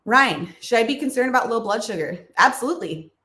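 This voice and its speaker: A woman's voice: fairly high pitch, lively intonation, fairly quick, bright, forceful tone, reverberant. A woman hosting a livestream Q&A about nutrition, explaining casually with fillers and small laughs while her voice is a bit froggy. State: froggy voice, clearing throat and coughing. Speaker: nutrition channel host answering livestream questions.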